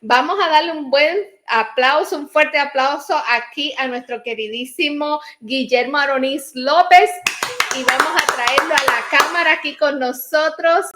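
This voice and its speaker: Female host, bright high voice, gushing, wordy welcomes on a video call. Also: measured pace. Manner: effusive, wordy and affectionate. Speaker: show host. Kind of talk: warm talk-show welcome.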